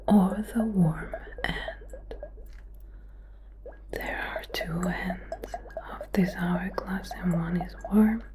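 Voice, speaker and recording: A woman doing a whispered ASMR medical role play as a doctor, giving soothing, slow, repetitive instructions. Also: lowish voice. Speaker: ASMR performer playing a doctor.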